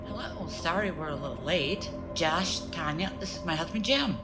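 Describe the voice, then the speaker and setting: A woman's voice: on the low side, expressive, normal speed, music in the background, lightly acted. A woman playing a kind, sociable celebrity in audio drama dialogue, with a friendly, chatty manner.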